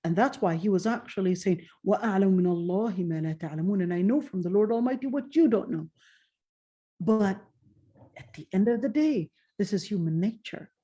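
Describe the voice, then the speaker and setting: Mellow-voiced woman giving an earnest religious lecture. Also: mid-range voice, lively intonation, muffled recording. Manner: earnest, with slow emphatic phrases and recited Arabic verses. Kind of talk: Islamic lecture.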